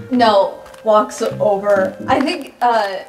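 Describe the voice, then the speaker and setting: A woman's voice: medium pitch, drawn-out words. A woman playing a tabletop game with casual improvised roleplay. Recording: echoey room, music underneath.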